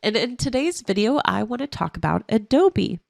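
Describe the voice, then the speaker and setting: A woman who sounds stuffy from a cold, walking through trading charts as an options analyst in a clear, explanatory way. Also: fairly high pitch, big pitch swings, moderate pace, a little mellow, no echo, clean studio sound.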